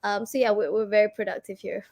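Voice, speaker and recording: A pregnant woman speaking as a panelist on an online panel, in a chatty, quick way. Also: medium pitch, level tone, darkish tone. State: seven months pregnant.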